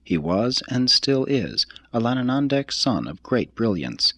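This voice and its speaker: A man reads a religious text aloud as scripted narration, in a husky voice with a measured, formal and deliberate delivery. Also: mid-range voice, very animated, a bit bright, dry recording. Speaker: audiobook reader.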